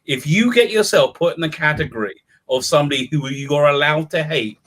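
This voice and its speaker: A man giving opinions in a live fan podcast discussion, halting and repeating his words as he builds a point. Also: a little high, a bit bright.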